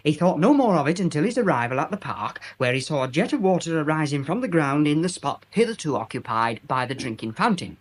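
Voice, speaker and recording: A junior newspaper reporter in a radio drama, with a raised, lively pitch. He recites earnestly in stilted, formal phrases. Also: fairly quick, few pauses.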